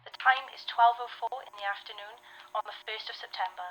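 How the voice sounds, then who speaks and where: A woman's voice: fairly high pitch, a little fast, sharp tone, poor audio. A woman playing a composed, formal 1930s television announcer, speaking solemnly and with careful enunciation. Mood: solemn, reassuring. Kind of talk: 1930s broadcast announcement acting.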